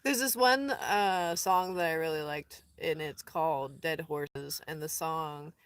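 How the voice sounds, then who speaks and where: A woman from Colorado chatting casually on a live stream outdoors in the desert, rambling, laughing often and dropping into soft asides. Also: sits low, a little slow, no room sound. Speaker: van-dwelling traveler streaming live.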